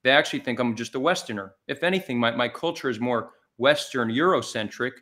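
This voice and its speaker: A man's voice: mid-range voice, fairly quick, pushed and bright. Hesitant man moderating a debate. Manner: hesitant, stumbling restarts, conciliatory. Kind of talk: casual online debate. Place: home room. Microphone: webcam video call.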